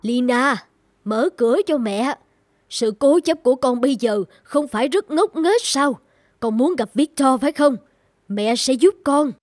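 A woman voicing a calm, persuasive middle-aged mother in a cartoon drama. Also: sits high, measured pace, dry recording. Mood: coaxing. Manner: persuasive, placating. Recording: music behind the voice.

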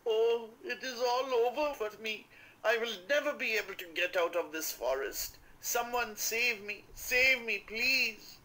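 A man voicing a frightened lost man who pleads loudly, later turning eager and greedy in a storybook reading. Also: high-pitched, normal speed, pitched above the performer's own voice, heavily acted, rough recording. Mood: panicked. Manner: wailing, pleading, then eager and flattering.

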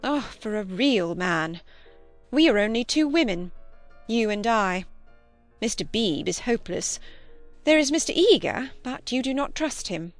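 A woman's voice: fairly high pitch, sing-song, moderate pace, dry recording, faint music behind. A woman voicing a prim, moralizing older spinster cousin, emphatic and plaintive, in an audiobook. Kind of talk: audiobook character acting.

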